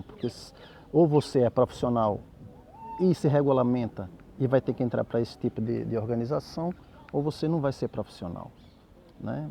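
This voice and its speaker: A middle-aged Brazilian man speaks with a Brazilian Portuguese accent in a gravelly, dark, mellow voice. He reasons his case out loud as a capoeira master, often tagging on a questioning aside to draw the listener in. Faint room ambience sits behind him. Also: medium pitch, a little hiss or hum. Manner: reflective, argumentative, with frequent "né?" tags. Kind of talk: spoken commentary to camera.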